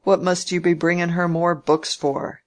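A domineering old landowner with plain country speech, read aloud by an audiobook narrator. He grumbles and bargains in a louder, harder voice than the narration around him. His tone is crafty and scolding. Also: medium pitch, level tone, moderate pace, close to an everyday voice. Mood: gruff bargaining. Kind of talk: audiobook character reading.

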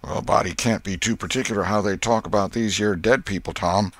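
A man voicing a superstitious country boy in a storybook, hushed with dread and drawling in rustic dialect. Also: on the low side, fairly even intonation, fairly quick, no echo, lightly acted. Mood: solemn, hushed.

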